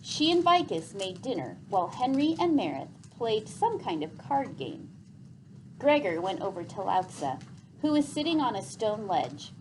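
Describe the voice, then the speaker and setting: Animated woman reading a story aloud. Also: medium pitch, a little hiss or hum, rough recording. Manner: animated, deliberate read-aloud delivery. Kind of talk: expressive scripted story narration.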